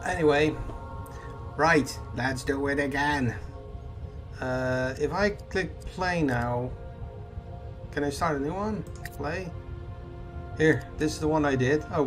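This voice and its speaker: A man's voice: on the high side, takes it slowly, pauses often. A man casually commenting as he plays a video game, rambling and hesitant, often stopping to correct himself. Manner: rambling, hesitant, self-deprecating. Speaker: gaming YouTuber. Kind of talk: casual let's play commentary. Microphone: desktop mic over game audio. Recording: game music playing behind voice.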